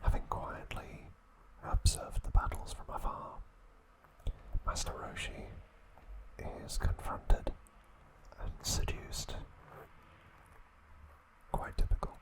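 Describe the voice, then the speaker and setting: A man hosting ASMR, narrating trivia in a hushed, deliberate way with short broken phrases, close to the microphone. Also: rough recording. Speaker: ASMR video host.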